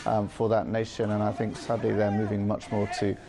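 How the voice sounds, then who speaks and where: A man's voice: fairly low pitch, completely flat delivery. Earnest middle-aged man in a news interview. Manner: measured, earnest, with frequent ums. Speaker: interview guest. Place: studio with city view.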